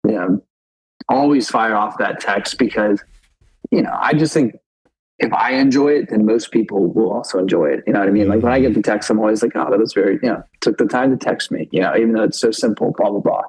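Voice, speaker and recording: A man's voice: on the low side, brisk, darkish tone, breathy edge, rough recording. A man of thirty co-hosting a podcast, chatting casually and rambling with lots of filler words. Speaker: podcast co-host. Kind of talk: casual chat.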